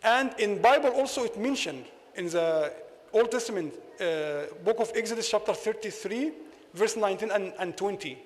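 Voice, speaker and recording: Egyptian imam lecturing in a reverberant mosque. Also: male voice, high voice, expressive, moderate pace. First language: Arabic. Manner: argumentative, rhetorical questions. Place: mosque hall. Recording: long hall reverb.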